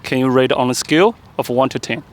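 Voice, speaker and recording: A man interviewing people on a city street, friendly and nodding along with quick yeahs. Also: medium pitch. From Taiwan.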